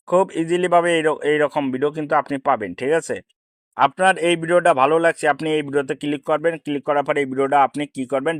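A man's voice: a little high, dry recording. A man races through an informal step-by-step tutorial. He often repeats his phrases and addresses the viewer as brother. The sound is dry and close, with very little room around the voice. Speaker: tutorial host. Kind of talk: informal screen-recorded how-to tutorial.

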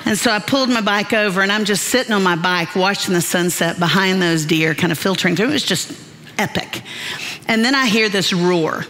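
A woman's voice: medium pitch, a little fast. A woman, praying and then telling stories as a Bible teacher in a folksy, joking way on a large church stage. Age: 50s. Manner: animated, folksy, self-deprecating humor. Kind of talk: prayer and sermon storytelling. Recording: long hall reverb with soft music.

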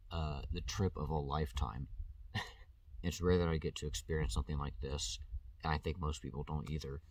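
Low-voiced young man vlogging outdoors. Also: dry recording. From Tennessee. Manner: chatty, excitable storytelling with frequent laughs and restarts. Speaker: travel vlogger. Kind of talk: casual solo travel vlog. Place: outdoors at a campsite and on sand dunes.

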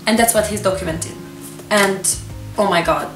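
A woman in her twenties, a native Arabic speaker, casually recommending books to camera in an expressive, gushing, emphatic manner. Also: mid-range voice, moderate pace, soft background music. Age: 20s. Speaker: book reviewer and vlogger. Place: small furnished room with books, indoors. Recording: echoey room, distant camera microphone.

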